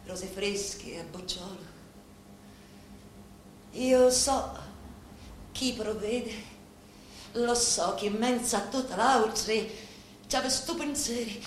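An actress playing a deferential, devoted Sicilian woman who serves a local boss, speaking a reverent, deliberate stage monologue with a Sicilian accent. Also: on the high side. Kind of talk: theatrical dramatic monologue in dialect. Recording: very echoey room, faint background noise.

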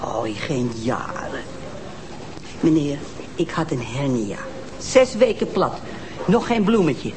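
An actress playing a bitter, self-pitying elderly woman who complains in a drawn-out way, in a Dutch TV detective drama. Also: low-pitched, sing-song, background noise, exaggerated voice. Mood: aggrieved. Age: older.

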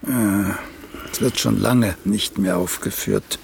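Slow, gravelly, pompous old playwright. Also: male voice, lowish voice, darkish tone, a little hiss or hum. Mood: courtly, vain. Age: older. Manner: pompous, needling, with deliberate drawn-out phrasing. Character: vain, cantankerous elderly once-celebrated playwright. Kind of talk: German radio play acting.

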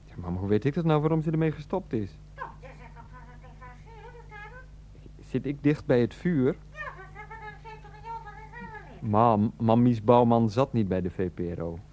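A man's voice: medium pitch, moderate pace, pauses often, dark tone, dull audio, close to an everyday voice. A man playing a put-upon husband and dutiful son in a radio play, stammering and incredulous. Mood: patient, weary.

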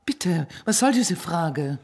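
An actress playing a guarded, haughty professor's wife in a radio play, with a husky voice and evasive manner. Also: female voice, on the low side, animated, slow, a little mellow, heavily acted. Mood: indignant. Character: guarded, indignant professor's wife under questioning.

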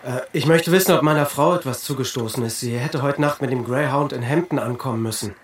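A man's voice: medium pitch, quick pace, natural voice. An actor in a German radio drama playing an anxious husband searching for his missing wife, hesitant and stammering, yet urgent. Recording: roomy sound with faint noise.

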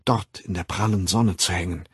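A man's voice: sits low, steady tone, normal speed, husky, no echo, clean studio sound, heavily acted. A man voicing a naive, dreamy young outsider in an audiobook, declaiming rapturously in old-fashioned words. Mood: earnest, troubled.

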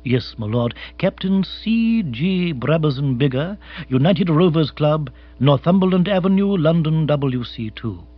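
A man voicing an imperturbable, deferential English manservant in a comic storybook, formal, measured, precise and deadpan. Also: fairly high pitch, no room sound, dull audio. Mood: calm, precise.

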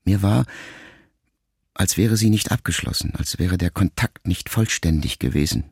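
Low, gravelly-voiced reflective grieving son. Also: male voice, a little mellow, no echo, clean studio sound, full character voice. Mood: sorrowful, tender. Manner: measured, reflective, intimate, with deliberate pauses. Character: grieving grown son, a writer, addressing his dying mother. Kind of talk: literary monologue in a radio play.

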